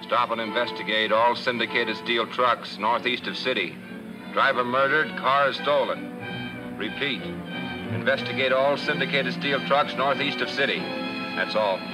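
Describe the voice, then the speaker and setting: An actor playing a brisk, authoritative police detective in a 1940s film serial, with clipped, businesslike delivery. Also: male voice, mid-range voice, lightly acted, low-quality recording. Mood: clipped, official. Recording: vintage film soundtrack, loud music underneath.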